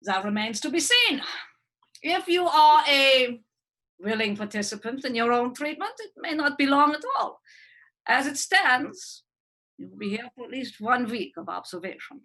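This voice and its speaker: An actress playing a cold, condescending hospital psychiatrist who talks in a clinical way with hesitant fillers. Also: female voice, high voice, animated, moderate pace, bright, forceful tone, full character voice, rough recording. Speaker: actor in a livestream script reading.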